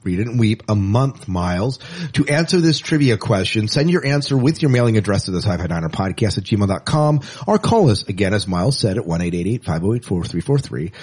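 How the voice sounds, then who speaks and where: A man's voice: on the low side, big pitch swings, a little fast, a little mellow, husky. A man co-hosting a podcast in a casual, chatty style, with wry asides and words repeated for emphasis. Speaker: podcast co-host. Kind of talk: casual show rundown and banter.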